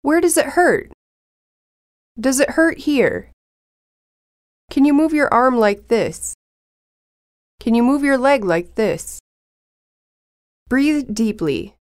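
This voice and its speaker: A woman with a slightly high, lively pitch reads practice phrases at a deliberately slow pace. Her delivery is careful and even, like a language lesson track, and the sound is dry and close. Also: no echo. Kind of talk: slow, clear phrase reading for learners.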